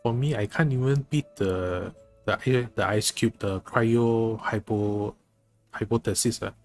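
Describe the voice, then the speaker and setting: Low-voiced man, halting casual game streamer. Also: darkish tone. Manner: halting, repeats and rephrases himself. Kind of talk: casual live game commentary. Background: game music.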